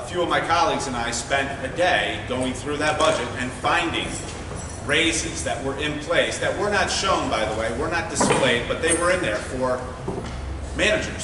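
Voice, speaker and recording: A man running for mayor, laying out his plans at a forum in a measured, methodical way with frequent "uh" fillers. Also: medium pitch. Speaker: mayoral candidate. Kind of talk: campaign forum speech. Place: large meeting hall. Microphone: distant room mic. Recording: echoey hall from far off, noisy.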